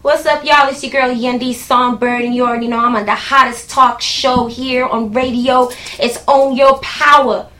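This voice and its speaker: A woman singer giving a hip, casual radio promo shout-out. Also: sits high, steady tone, normal speed, hardly any pauses.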